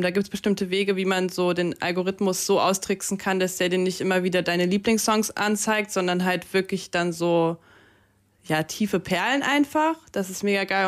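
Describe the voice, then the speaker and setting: A young woman DJ, Russian by first language, chats easily in a campus radio studio. She talks loosely, with fillers and English slang words mixed in, into a close studio microphone. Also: fairly low pitch. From Germany. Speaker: DJ, interview guest. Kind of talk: casual radio interview.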